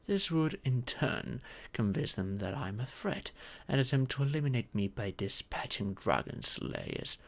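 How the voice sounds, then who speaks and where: A gentle old dragon in humanoid form, acted by a man, speaks slowly with a creaky edge. The pitch swings widely through formal, patient explanations, as a doting parent would give in an audio roleplay. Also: full character voice. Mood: pensive, grave. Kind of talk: scripted audio roleplay monologue.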